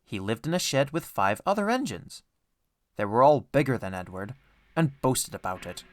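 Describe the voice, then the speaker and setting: A man narrates a children's storybook. His medium-pitched voice moves with lively, expressive intonation, in the manner of an audiobook reader. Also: normal speed.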